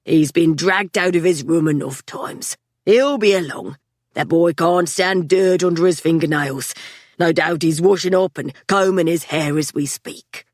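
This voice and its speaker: A smug young man who drawls and mocks, as a woman narrator plays him. He sits slightly lower than her own voice and takes his time, recorded close and dry. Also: a little slow, no echo. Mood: mocking. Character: bossy, mocking young man, an older brother.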